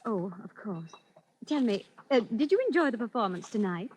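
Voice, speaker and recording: An actress in old-time radio style playing a genteel widow, proper and coaxing, with a fussing, sweetly controlling manner. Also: female voice, mid-range voice, lively intonation, normal speed, darkish tone, natural voice. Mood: coy, teasing. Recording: faintly noisy old radio recording.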